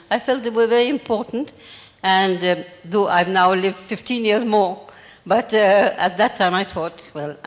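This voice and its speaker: An elderly woman from India speaks at a measured, thoughtful pace into a handheld microphone on a large festival stage. Her words carry a long hall reverb behind them. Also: mid-range voice. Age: 80s. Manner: measured, reflective storytelling. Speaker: panelist, memoir author. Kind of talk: panel discussion. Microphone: handheld mic.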